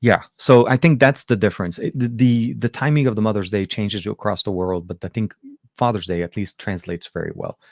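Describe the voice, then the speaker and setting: A man's voice: lowish voice, fairly quick, a little mellow, no room sound, clean studio sound. A middle-aged man from Lebanon, his first language Arabic, hosting a tech show from home, chatting casually with viewers and answering comments in a rambling, friendly way with many fillers and restarts. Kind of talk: casual livestream chat reading viewer comments.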